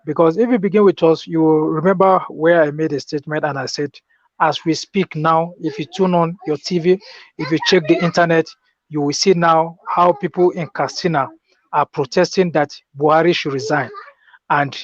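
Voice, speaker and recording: A man's voice: on the high side, fairly even intonation, normal speed, no room sound. A man with a Nigerian accent speaking as a guest in a political discussion, insistently explaining his experience. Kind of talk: political discussion, personal account. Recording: dull, dry lo-fi call audio.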